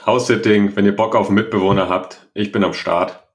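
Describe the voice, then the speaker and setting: A man with a lowish voice talks as a podcast co-host. His delivery is casual and chatty, with a playful streak running through the banter. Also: fairly even intonation, fast.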